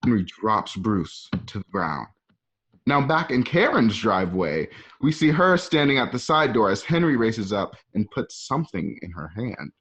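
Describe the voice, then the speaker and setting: A man's voice: lowish voice, very animated, normal speed, fairly bright tone. A man narrating an amateur radio drama, reading out the scene directions as the narrator. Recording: dull lo-fi home recording.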